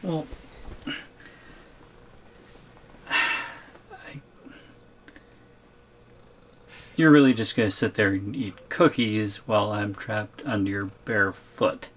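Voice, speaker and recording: A man's voice: fairly low pitch, a little slow, a bit bright. Man playing a hesitant, wry shrunken boyfriend. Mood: protesting. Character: tiny shrunken captive boyfriend, reluctant and wary. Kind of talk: ASMR audio roleplay.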